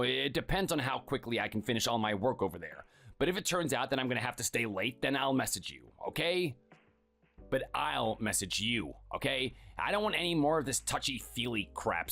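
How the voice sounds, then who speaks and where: A performer voicing a cold, contemptuous workaholic husband who talks curtly and dismissively. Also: male voice, medium pitch, very animated, brisk, vocal fry, fairly bright tone, full character voice. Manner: curt, scornful, dismissive.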